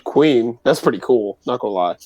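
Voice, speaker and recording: A man's voice: medium pitch, lively intonation, moderate pace, darkish tone, muffled recording, slight background noise. A man playing in a tabletop game, chatting casually and improvising his character's lines.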